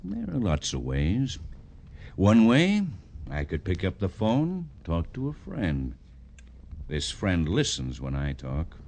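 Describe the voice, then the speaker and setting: A wealthy Broadway big shot in an old radio crime drama. His voice is very deep and swings widely between boastful menace and pleading, with faint noise on the vintage broadcast. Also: huge pitch swings, takes it slowly, creaky, a little mellow, full character voice. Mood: menacing. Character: pompous, wealthy Broadway underworld big shot, easily cowed. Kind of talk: 1950s radio crime acting.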